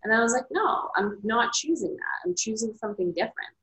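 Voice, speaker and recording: A woman's voice: on the high side, animated, fairly quick, a bit bright, reverberant, low-quality recording. A woman author and coach talking candidly in an interview, reflective and open, with lots of "like" fillers. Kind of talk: casual interview conversation.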